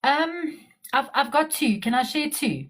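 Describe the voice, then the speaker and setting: A woman, a digital marketer, talking bluntly and openly in a relaxed conversation over a video call. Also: high-pitched, measured pace. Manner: blunt and outspoken. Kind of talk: casual conversation.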